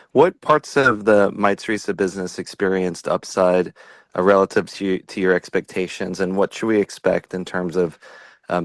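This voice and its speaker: A man asking questions as a financial analyst on an earnings call, halting and rephrasing as he goes. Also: sits low, dry recording.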